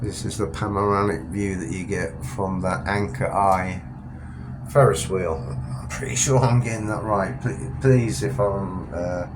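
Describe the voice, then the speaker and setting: A man's voice: low-pitched, steady tone, a little slow, noisy background. Stuffy-nosed man rambling to camera. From England. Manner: rambling, chatty, self-correcting. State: stuffed-up nose, sniffly. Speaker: travel vlogger. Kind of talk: casual vlog narration. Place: inside a Ferris wheel cabin at night.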